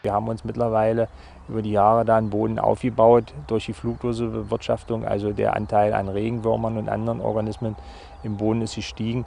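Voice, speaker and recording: A man with a low, creaky voice and a very flat delivery speaks in an unscripted interview. His tone is dark and matter-of-fact, and he explains haltingly, rephrasing as he goes. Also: slight background noise. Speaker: farmer being interviewed.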